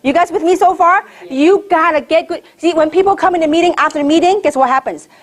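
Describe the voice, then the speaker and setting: A woman's voice: very high-pitched, fast talker. A middle-aged woman from Hong Kong speaks as a seminar trainer, emphatic and repetitive, throwing rhetorical questions at her listeners. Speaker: network marketing trainer giving a seminar. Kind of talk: motivational sales training talk to a room.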